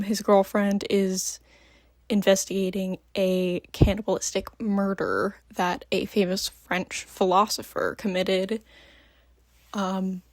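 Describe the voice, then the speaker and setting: A woman's voice: mid-range voice, dry recording. A woman chatting casually in a book review vlog, just woken up, gushing with lots of ums. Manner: gushing, filler-heavy, many ums. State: just woke up. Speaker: book vlogger.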